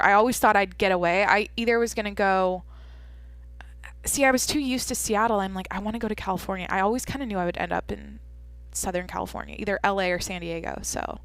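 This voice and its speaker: A woman's voice: medium pitch, a bit bright, no echo. Rambling, candid young woman, dry. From Washington State, USA. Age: 20s. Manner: rambling, filler-heavy, candid and profane. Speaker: podcast host. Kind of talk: casual solo Q&A podcast.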